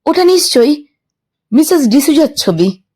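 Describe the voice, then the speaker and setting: An actress plays a frightened woman in a horror audio drama. Her voice is high-pitched and urgent. She is bossy and impatient as she hurries her companion along. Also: very animated, measured pace, darkish tone. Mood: urgent, tense. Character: frightened, urgent woman fleeing a sinister island. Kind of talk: Bengali audio horror story acting.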